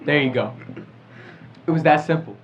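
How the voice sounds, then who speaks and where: A man's voice: a little high, moderate pace. A teenage American boy hosting a podcast in a casual group discussion. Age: teens. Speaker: podcast host. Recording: muffled lo-fi podcast with clear background noise.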